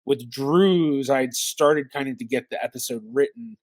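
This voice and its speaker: A man's voice: mid-range voice, expressive, measured pace, a little mellow, no echo. A man hosting a genealogy channel, narrating and chatting in loose, self-correcting asides. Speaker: genealogist YouTube host. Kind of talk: reaction commentary and narration.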